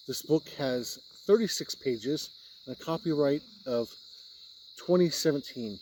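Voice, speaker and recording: A man's voice: medium pitch, mellow, a little hiss or hum, poor audio. A man giving an unscripted explanatory review, talking plainly step by step and sometimes repeating himself. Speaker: tabletop game reviewer.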